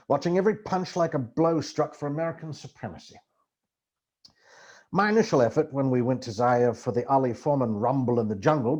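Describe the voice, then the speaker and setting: A man performs a book reading as an amateur actor would, with theatrical flair. His mid-pitched voice moves widely up and down, giving the narration a lively, expressive shape. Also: breathy edge. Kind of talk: dramatic book reading to camera.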